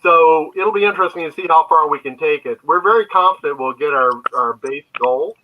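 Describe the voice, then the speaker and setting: Male interview guest, fairly high voice, casual delivery, dull lo-fi call audio with faint static. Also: a little fast. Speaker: guest, comic creator. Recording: muffled lo-fi mic with static.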